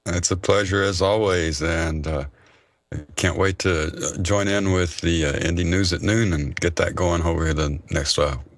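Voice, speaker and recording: A man appearing as a guest panelist and audio engineer, talking in a relaxed, hesitant way with lots of fillers. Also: deep voice, normal speed, dry recording, studio quality. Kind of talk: casual panel chat.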